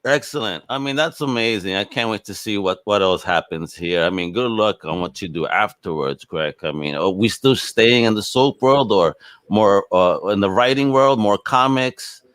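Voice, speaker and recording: Animated male podcast host, dry close sound. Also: lowish voice, normal speed, clean tone, no room sound. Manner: exclamatory, playful, repeats words for emphasis. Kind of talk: casual interview chat.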